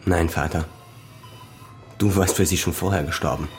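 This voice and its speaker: An actor as a low, grimly resolute son. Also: male voice, moderate pace, over music, natural voice. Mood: bitter, confessional. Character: grim, resolute grown son confronting his father. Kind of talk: German radio crime drama acting.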